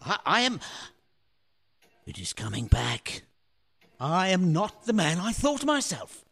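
A man acting an excitable, bewildered painter in a radio play. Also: high-pitched, slow, gravelly, slightly rough, full character voice. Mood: bewildered, anguished. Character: excitable bewildered painter, doting father, dawning memory.

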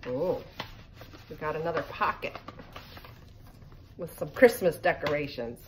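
A middle-aged woman reads a picture book to children at an unhurried pace, in a fairly low voice. She breaks off for casual asides. It is a home phone recording with faint noise behind her. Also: poor audio. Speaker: read-aloud reader for children. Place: living room by a fireplace. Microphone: phone propped in front of her.

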